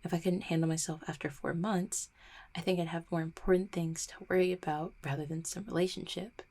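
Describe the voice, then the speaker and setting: A woman's voice: fairly low pitch, fairly even intonation, darkish tone. A woman acting a guarded, heartbroken small-town mail carrier in an audio roleplay, stammering and blaming herself. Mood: defensive, guarded. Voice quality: husky, creaky. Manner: halting, stammering, self-deprecating. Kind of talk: roleplay audio drama, intimate one-sided conversation.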